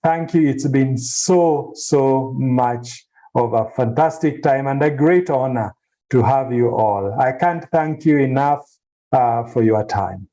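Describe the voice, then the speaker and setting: A man giving spontaneous academic remarks in a discussion, reflective and halting, with hesitant pauses. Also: medium pitch, mellow, low-quality recording. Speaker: symposium speaker, book editor. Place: online video symposium.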